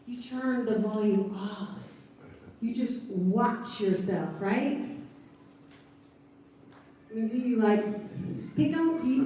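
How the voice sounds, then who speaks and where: A woman with a deep Southern accent giving a chatty, animated talk at a live event. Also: a little hiss or hum. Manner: chatty and animated, laughs at herself. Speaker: event speaker. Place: large event hall. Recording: echoey hall, distant mic.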